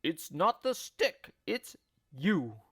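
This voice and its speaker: A man voicing a gleefully cruel, scheming husband in a storybook, taunting with emphatic, drawn-out words. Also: fairly high pitch, sing-song, sharp tone, slightly rough, no room sound, studio quality, voice pushed up, exaggerated voice. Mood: scornful.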